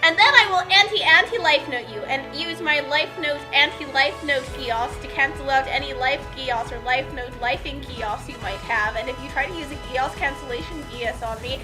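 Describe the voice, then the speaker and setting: A woman's voice: sits high, normal speed, hardly any pauses. A woman trading comedic banter as a co-host in a playful, mock-dramatic way. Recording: music playing behind. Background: music.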